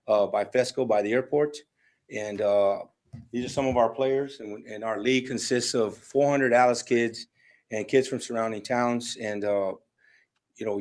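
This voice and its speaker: A man's voice: fairly low pitch, darkish tone. A man speaking as a league president, addressing officials from a podium in public comment. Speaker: youth football league president. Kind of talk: public comment at a government meeting. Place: county courtroom, standing at a podium.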